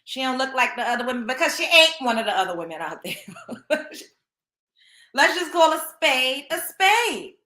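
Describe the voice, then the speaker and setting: Blunt, bright-voiced woman host. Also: high voice, sing-song, moderate pace, echoey room. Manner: blunt, scolding, emphatic, repeats points. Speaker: show host. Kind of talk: opinionated commentary monologue.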